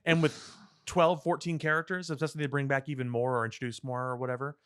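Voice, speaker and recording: A man's voice: mid-range voice, little pitch movement, quick pace, a bit bright, clear, resonant tone. A man co-hosting a podcast, chatting casually about pop culture and prompting with questions. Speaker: podcast co-host.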